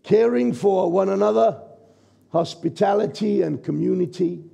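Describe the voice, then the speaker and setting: A man in his sixties, a pastor from New Zealand, preaching a sermon from a church stage with a croaky, gravelly voice and a conversational manner. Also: high-pitched, normal speed, warm tone. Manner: conversational preaching, checks in with the congregation. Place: church stage, large indoor hall. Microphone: stage mic at a lectern, far camera.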